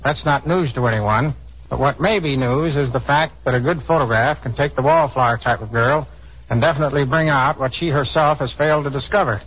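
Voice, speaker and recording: A man's voice: medium pitch, a little fast, husky. A man giving formal, explanatory scripted answers as a guest expert in a 1930s radio interview. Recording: muffled 1930s radio broadcast, faint noise.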